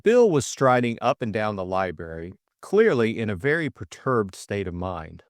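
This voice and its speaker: Animated man narrating, very dry sound. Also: on the low side, moderate pace, no room sound. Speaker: audiobook narrator and voice actor. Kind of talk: scripted fiction narration.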